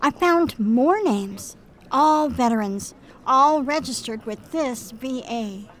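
A woman plays an eager young investigator in a radio play. Her voice is high and perky, with emphatic, friendly delivery. There is slight noise in the recording. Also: lively intonation, slow, rough recording. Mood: urgent. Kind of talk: audio drama acting.

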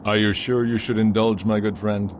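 Radio play confidant , male actor: deep pitch, kindly, measured, softly reproving, slight background noise. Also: little pitch movement, lightly acted. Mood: gently chiding. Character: kindly, moderate, teasing friend of priest. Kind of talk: amateur radio play acting.

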